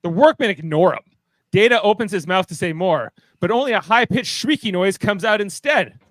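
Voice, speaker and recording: A man's voice: high-pitched, expressive, moderate pace, a bit bright, no room sound. A man hosting and narrating a live amateur radio play in an informal, playful way. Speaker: host and narrator.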